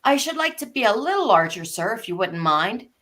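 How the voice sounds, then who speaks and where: A woman doing a polite confused little girl from a storybook, highish voice that goes up and down a lot, earnest. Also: big pitch swings, fairly quick, fairly bright tone. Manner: earnest, prim, questioning. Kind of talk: live reading of an abridged classic story.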